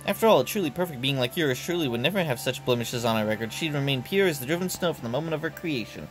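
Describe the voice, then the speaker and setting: A man's voice: medium pitch, expressive, rapid-fire, soft background music, close to an everyday voice. A man doing a haughty condescending young woman. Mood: smug. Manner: condescending, drawling.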